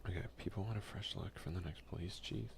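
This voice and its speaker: Gravelly, hushed young man, low voice. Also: fast. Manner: soft-spoken, often slipping into whispers. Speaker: gaming video creator. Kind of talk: hushed ASMR let's play, commenting and reading game text. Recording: faint noise behind a home recording.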